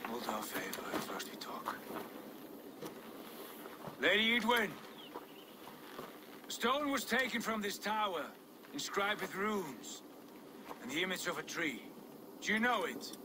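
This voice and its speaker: High, swinging, imperious Viking lord. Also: male voice, sing-song, slow pace, long pauses, exaggerated voice. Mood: commanding. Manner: grandiose, commanding. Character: imperious Viking lord convinced of his own divinity. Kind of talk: dramatic video game acting. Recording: clear ambient noise behind.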